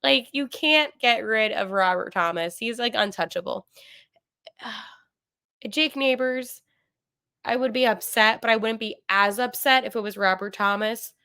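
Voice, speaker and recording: Chatty bright-voiced young woman podcaster. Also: on the high side, a little slow, smooth, no room sound, clean studio sound. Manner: chatty, animated, many fillers and self-corrections. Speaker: podcast host. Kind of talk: casual sports podcast monologue.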